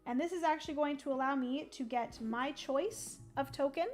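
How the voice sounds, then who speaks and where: High-voiced woman streaming a board game. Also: moderate pace, faint music behind. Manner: chatty, thinks aloud, greets chat viewers. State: losing her voice. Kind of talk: casual livestream play-by-play.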